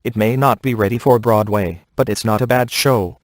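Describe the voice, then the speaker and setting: Male actor as sardonic ghost hunter, lowish voice, dry studio sound, cocky flirt, glib video game one-liners. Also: fairly even intonation, moderate pace, no echo, close to an everyday voice. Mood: teasing, sardonic. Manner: dry, sarcastic, glib delivery.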